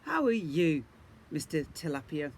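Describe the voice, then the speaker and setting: A man's voice: on the high side, very animated, a little slow, noisy background. A man voicing a friendly, encouraging seahorse father-to-be in a children's storybook. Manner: bright, encouraging greetings and praise.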